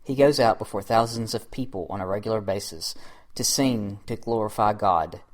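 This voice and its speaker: A man's voice: fairly low pitch, normal speed. A man reading a scripted introduction as the host of an interview program.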